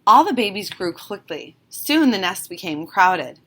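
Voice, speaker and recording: A woman's voice: medium pitch, big pitch swings, unhurried, fairly bright tone. Woman reading a children's story aloud. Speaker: storybook reader. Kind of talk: expressive read-aloud narration of a children's story.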